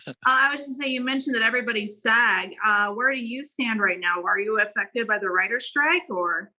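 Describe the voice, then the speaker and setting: High, very bright woman. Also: a little fast, low-quality recording. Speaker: podcast co-host. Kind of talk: casual interview questioning.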